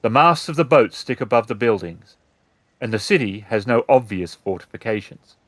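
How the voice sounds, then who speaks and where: A man narrating a tabletop roleplaying game as storyteller, describing scenes in measured, deliberate phrases. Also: mid-range voice, animated, fairly bright tone, dry recording.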